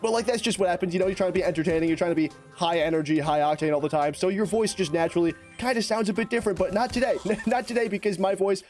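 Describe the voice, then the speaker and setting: A young man with a raspy, hoarse voice, giving excitable, slangy gameplay commentary as a YouTuber. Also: high-pitched, faint music behind. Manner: excitable, slangy, fast-talking, rambling. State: hoarse from lost voice. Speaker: gaming YouTuber.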